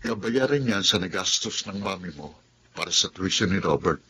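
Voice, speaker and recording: A loyal, generous young man, played by a man in a radio drama. His voice is very low and steady, with a faint hiss under it. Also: normal speed, poor audio.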